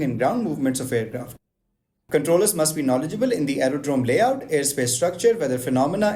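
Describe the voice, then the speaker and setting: Brisk man reading aviation rules. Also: on the high side. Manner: brisk, even, list-like reading of technical terms. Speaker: aviation explainer host. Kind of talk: scripted educational narration, just-a-minute format.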